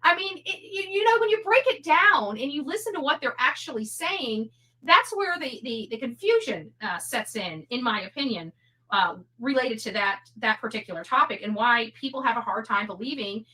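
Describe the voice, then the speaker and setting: A woman giving casual, rambling opinion commentary in a halting way, often repeating her words. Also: fairly high pitch, lively intonation, pushed and bright, poor audio. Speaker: online commentator.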